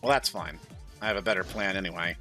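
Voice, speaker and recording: A man's voice: sits low, fast talker. A man giving casual, wry and self-deprecating commentary as he plays a game, with game music behind him. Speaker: gaming video host reading a visual novel aloud.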